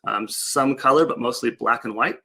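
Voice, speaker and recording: A man's voice: mid-range voice, moderate pace, creaky, sharp tone. A man giving an informal, loosely improvised online slide presentation in a casual, explanatory way. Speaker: project presenter.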